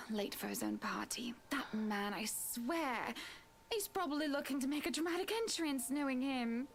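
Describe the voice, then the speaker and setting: Woman voicing a high-pitched, exasperated society wife. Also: expressive, moderate pace, faint music behind. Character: wealthy married socialite hostess, proper and exasperated. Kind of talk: visual novel character voice.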